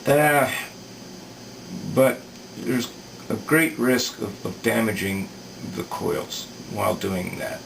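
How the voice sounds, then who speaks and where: A man talks through a hands-on technical repair at a very unhurried pace, pausing to think aloud. His voice is on the low side with a gravelly fry. He sounds some way from the microphone, with clear noise behind him. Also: expressive. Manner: halting, thoughtful, thinking aloud. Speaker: repair video maker demonstrating electronics work. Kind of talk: casual unscripted technical explanation. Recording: distant mic with clear background noise.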